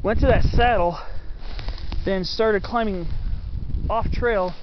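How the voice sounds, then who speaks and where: A man giving casual, unscripted commentary on a hike, halting and thinking aloud as he goes. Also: sits high. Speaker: hiker narrating his own hike. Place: outdoors on a forested mountain slope. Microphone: handheld camera mic. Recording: muffled camera audio, loud wind noise.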